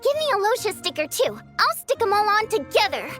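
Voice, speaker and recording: A woman's voice: very high-pitched, very animated, fairly quick, fairly bright tone, faint music behind, exaggerated voice. A woman voicing a spirited young stage performer in a video game, theatrical, teasing and affectionately bossy. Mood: impatient.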